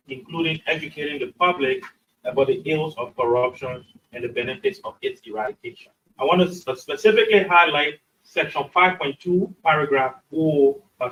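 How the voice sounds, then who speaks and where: Male official, formal read-out statement, slightly raised pitch, a bit off-mic. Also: a bit bright. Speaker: anti-corruption commission official. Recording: slightly distant microphone.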